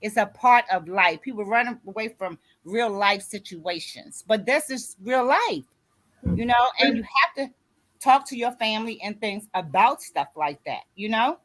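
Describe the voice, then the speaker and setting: A woman's voice: mid-range voice, lively intonation, measured pace, a bit bright, low-quality recording. Emphatic woman in her sixties giving motivational talk. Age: 60s. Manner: emphatic, exhorting, often checking listeners follow. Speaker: host and author mentoring co-authors. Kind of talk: motivational conversational radio talk.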